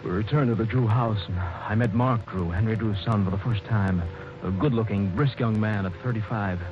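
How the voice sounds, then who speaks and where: A man giving measured, first-person narration in a 1940s radio mystery. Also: fairly low pitch, music in the background. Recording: dull old radio recording with hiss.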